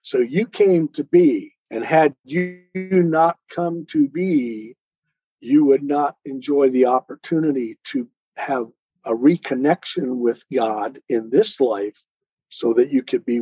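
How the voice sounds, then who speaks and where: A man speaking as an interview guest, a poet reflectively explaining his work in deliberate, earnest, preacherly phrases. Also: mid-range voice, dark tone, husky, dry recording. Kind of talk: reflective explanation of a poem in conversation. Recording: dull, lo-fi voice recording.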